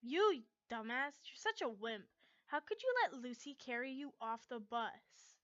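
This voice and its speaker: Girl doing a snarky anime rival boy, picks fights, bright voice, muffled dry mic. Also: on the high side, big pitch swings, measured pace, no room sound. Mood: scornful. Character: scornful, needling teenage boy, anime hero's rival.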